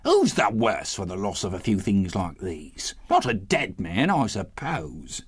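A man reading aloud, voicing a bold, coarse old charwoman who talks in a shrill, brash way. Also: huge pitch swings, unhurried, husky, heavily acted. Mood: brazen.

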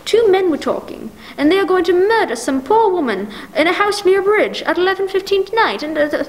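A woman plays a fretful, self-pitying invalid wife in a 1940s-style radio play. Her voice is very high, and she stammers, then turns indignant and demanding, sure that nobody is listening. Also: big pitch swings, a little fast, poor audio. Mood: agitated, pleading. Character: anxious, imperious, self-pitying bedridden married woman.

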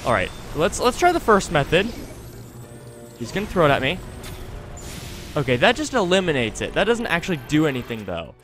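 A man with a high voice hosts a let's play on a close desktop mic. He rambles casually, talking himself through the action, with game music faintly underneath. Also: wildly swooping pitch, fairly quick, pauses often, fairly bright tone. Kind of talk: casual gameplay commentary. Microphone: close desktop USB microphone.

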